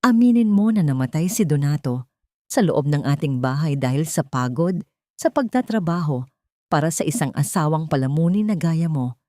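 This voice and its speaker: A woman's voice: deep voice, expressive, normal speed, dark tone, dry recording, studio quality. Woman acting out a cruel, domineering rich matriarch. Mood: threatening, accusing. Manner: commanding, cold, menacing.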